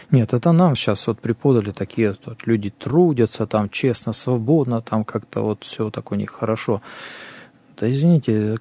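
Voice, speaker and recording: A man's voice: mid-range voice, vocal fry, dark tone, husky, dry recording. A man who is a priest, talking earnestly and thinking aloud in a conversational religious program.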